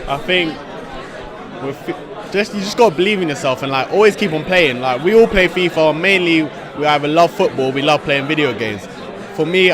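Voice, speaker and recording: An eighteen-year-old man from London, an esports player answering interview questions with quick, excited talk and casual slang. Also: on the high side. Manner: excited, fast-talking, loose slang. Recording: noisy crowd behind. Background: crowd chatter.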